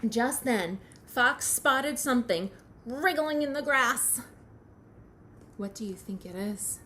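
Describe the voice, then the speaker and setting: A woman teacher reading a picture book aloud to young children, expressive and questioning. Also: a little high, slight background noise. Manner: expressive, pauses to question the listener.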